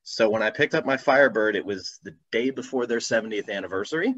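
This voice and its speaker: A man's voice: on the low side, fast talker, a bit bright. A man telling casual, rambling anecdotes with self-deprecating humor as a guest on a video call. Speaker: guest, car enthusiast and YouTuber. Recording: slightly dull video call audio.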